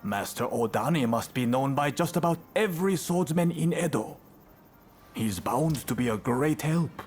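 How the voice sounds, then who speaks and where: A man's voice: mid-range voice, lively intonation, moderate pace, a little mellow, soft background music, natural voice. An actor playing an easygoing, welcoming swordsman ally in a video game, talking informally. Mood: relaxed, helpful. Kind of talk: video game cutscene acting.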